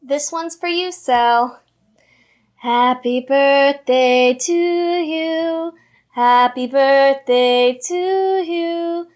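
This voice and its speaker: A woman's voice: high-pitched, very slow, a little mellow. A woman singing a birthday song.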